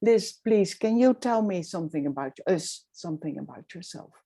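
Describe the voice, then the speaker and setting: Low-voiced woman facilitating an online session. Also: expressive, mellow, a little hiss or hum. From Netherlands. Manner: halting, many fillers. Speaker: workshop facilitator. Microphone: video call.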